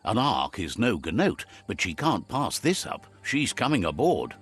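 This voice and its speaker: Male game narrator, low voice, measured storybook delivery, relays characters' speech. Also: very animated, fairly bright tone, breathy edge, faint music behind.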